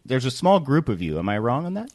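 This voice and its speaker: Man, podcast interviewer, casual, halting phrasing, middle pitch, dry studio sound. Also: very animated, no echo. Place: production studio.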